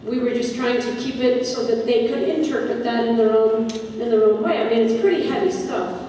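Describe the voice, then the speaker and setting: A woman's voice: fairly high pitch, brisk, dark tone. A woman drama director talking informally and explaining things to an audience in a question-and-answer session. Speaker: drama director leading a post-show talkback. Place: large indoor hall with audience. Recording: echoey hall from far off, audience noise.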